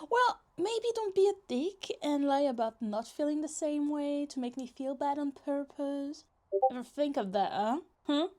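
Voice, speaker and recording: A woman's voice: high-pitched, expressive, measured pace, a little mellow. A woman playing a possessive, lovesick yandere young woman in a roleplay ASMR, teasing, pouty and dramatic in casual, conversational speech. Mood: teasing, bossy.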